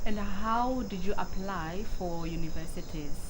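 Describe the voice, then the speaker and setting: A woman's voice: medium pitch, a little slow. Woman interviewer, noisy background. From South Africa. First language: Tshivenda. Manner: frequent short backchannels. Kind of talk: casual interview questions.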